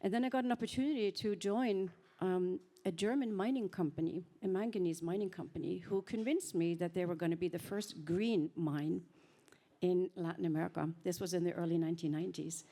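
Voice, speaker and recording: A woman's voice: lowish voice, fairly quick, dark tone. Earnest woman. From Norway. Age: 50s. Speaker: panel speaker. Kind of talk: personal storytelling on a panel.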